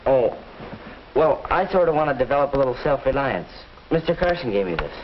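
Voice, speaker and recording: A young actor playing an earnest, eager high school student in a 1950s instructional film. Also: male voice, sing-song, a little fast, close to an everyday voice. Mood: unsure. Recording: muffled old film soundtrack, faint noise.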